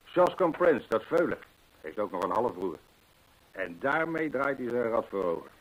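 An actor playing a talkative, anxious horse trainer in a Dutch radio drama, with a husky, gossipy way of telling things. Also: male voice, medium pitch, sing-song, normal speed, low-quality recording. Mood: worried, confiding. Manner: gossipy, emphatic storytelling.

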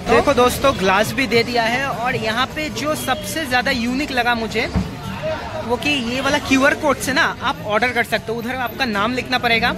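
A man's voice: very high-pitched, moderate pace. A man in his thirties vlogging on a handheld phone, mixing Hindi and English as he reads out a menu. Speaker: food vlogger. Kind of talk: casual restaurant vlog. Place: busy brewery restaurant. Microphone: handheld phone camera. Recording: loud music and crowd chatter.

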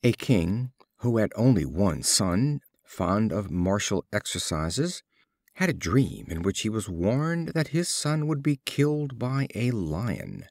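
A man reading fables as scripted storybook narration, in a measured, expressive storyteller's delivery. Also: fairly low pitch, no room sound, clean studio sound. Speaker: audiobook reader.

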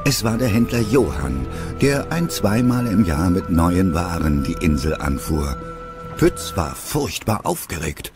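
A man's voice: sits low, animated, a little slow, over music. A man narrating a children's audio drama as the storyteller of a dragon adventure.

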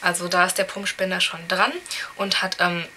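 Chatty young woman, slightly hoarse. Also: lowish voice, little pitch movement, moderate pace, pushed and bright, a little hiss or hum. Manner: chatty, candid, many fillers. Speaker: beauty vlogger. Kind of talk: casual product review. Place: small room indoors.

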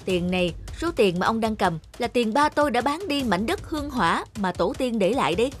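A hot-tempered middle-aged family man in an animated drama. He scolds and accuses with indignant force, over light background music. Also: very high-pitched, brisk. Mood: angry.

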